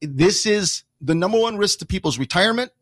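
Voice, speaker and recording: A high-voiced man with an American accent teaches on a radio program. He is emphatic, hammering points through repetition and rhetorical questions, in a dry studio recording. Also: animated, fairly quick, a bit bright, no room sound. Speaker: financial educator and radio show guest. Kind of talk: explanatory radio talk.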